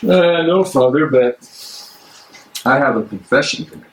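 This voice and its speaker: A man acting a troubled, earnest German theologian in a live dramatic reading, speaking haltingly. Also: mid-range voice, lightly acted, rough recording. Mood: worried, wavering. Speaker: actor in a dramatic play reading. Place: public library meeting room. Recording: live library room, faint background noise.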